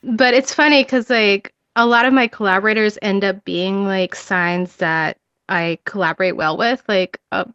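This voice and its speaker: A woman in her thirties, raised in Ecuador, talks loosely and chattily as an interview guest, scattering "like" through her sentences. Her voice sits at a middle pitch. The recording is close and dry but slightly dull. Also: fairly even intonation, normal speed, a bit bright, clear, resonant tone, no room sound. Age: 30s. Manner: chatty, frequent "like" fillers, laughs often. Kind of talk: casual interview chat.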